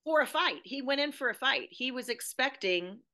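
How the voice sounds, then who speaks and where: Bright-voiced emphatic woman guest. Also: high voice, expressive, normal speed. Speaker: podcast guest, playwright and drama educator. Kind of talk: conversational drama discussion.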